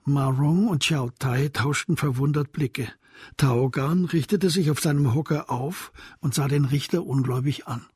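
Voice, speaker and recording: A man narrating a radio crime drama as a sober storyteller, in a scripted literary style with a very husky voice. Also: mid-range voice, moderate pace, darkish tone, no room sound, studio quality. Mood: sober, observant. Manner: sober, descriptive.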